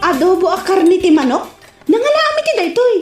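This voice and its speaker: High, animated Ilocano woman. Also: a little fast. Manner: animated, exclaiming and laughing. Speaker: comedic actor. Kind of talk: Ilocano comedy sketch acting. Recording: echoey room with music underneath.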